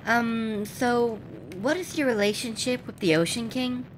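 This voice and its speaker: A woman voicing a small fairy companion in a cartoon parody, sharp, scolding and politely pointed. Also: on the high side, unhurried, a little hiss or hum, close to an everyday voice, rough recording.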